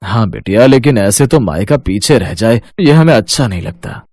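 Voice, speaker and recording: A man voicing a gentle, affectionate older father speaking to his married daughter in a cartoon story. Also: lowish voice, lively intonation, normal speed, no room sound, clean studio sound. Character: caring older father on the phone.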